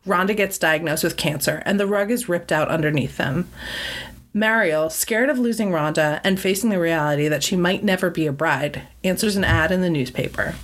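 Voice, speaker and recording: A woman co-hosting a film podcast, talking in a playful, teasing way. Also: fairly low pitch. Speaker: podcast co-host. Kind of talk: casual film podcast chat.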